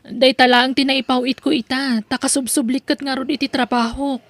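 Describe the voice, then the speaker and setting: A woman's voice: sits high, level tone, a little fast, dry recording. A woman with an Ilocano accent playing a tired young woman working in the city for her family. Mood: weary. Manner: patient, apologetic.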